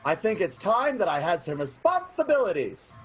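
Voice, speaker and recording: An actor playing a chatty, apologetic, fickle would-be murderer in an improvised radio comedy. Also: male voice, high-pitched, sing-song, moderate pace, husky, soft background music, heavily acted. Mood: breezy, earnest.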